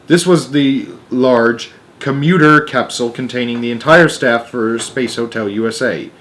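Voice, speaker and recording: A man storyteller reading a children's book aloud in an expressive, deliberate and emphatic way, with occasional stumbles. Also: medium pitch.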